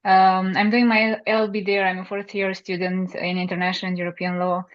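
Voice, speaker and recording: Flat-voiced young woman on dull call audio. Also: mid-range voice, fairly quick, dry recording. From Romania. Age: 20s. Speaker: law student and team lead. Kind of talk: casual meeting self-introduction.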